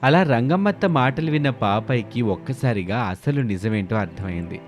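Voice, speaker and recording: A man's voice: mid-range voice, lively intonation, moderate pace, soft background music. A man reading scripted narration as the storyteller of a moral tale.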